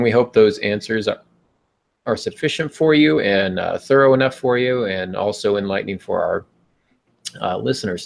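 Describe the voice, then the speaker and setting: Male podcast host, lowish gravelly voice, casual delivery, calling in online. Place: hotel room, on an internet call.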